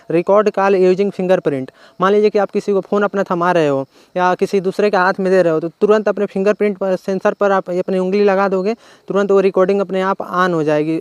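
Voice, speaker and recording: An Indian man hosts an informal tech tutorial in a high, smooth voice. He explains step by step, often restating an instruction in simpler words. The recording is close and dry, with very little room sound. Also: no room sound. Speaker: tech channel host. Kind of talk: informal phone tutorial narration.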